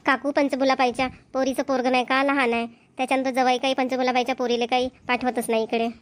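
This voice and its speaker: A high, rapid voice of a woman acting as a village grandmother in a homemade cartoon comedy. She is a friendly, gossipy neighbour with a Varhadi Marathi accent. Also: flat delivery, lightly acted. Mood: chatty, neighbourly.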